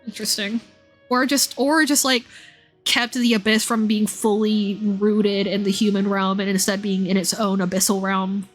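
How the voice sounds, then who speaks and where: A woman doing casual livestream commentary on a game, thinking aloud in an excitable, exclamatory way with half-finished thoughts. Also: mid-range voice, normal speed. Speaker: livestream host playing a game and discussing its lore. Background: game music under the voice.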